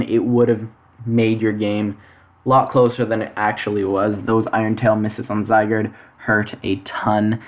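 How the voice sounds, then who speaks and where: A young man giving casual weekly power rankings for a game league, halting with lots of fillers and self-corrections. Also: lowish voice, narrow, tinny audio. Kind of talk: casual commentary.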